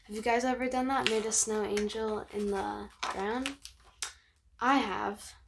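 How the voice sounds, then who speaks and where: A young teenage girl reading a picture book aloud, expressive and child-friendly, with chatty asides. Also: medium pitch, a little slow. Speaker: host of a read-aloud channel. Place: bedroom, sitting on a bed. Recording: clear background noise.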